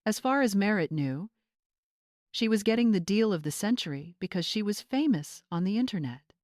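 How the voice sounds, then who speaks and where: A woman's voice: mid-range voice, animated, moderate pace, darkish tone, dry recording, studio quality. A woman narrator reading a scripted dramatic story in audiobook style.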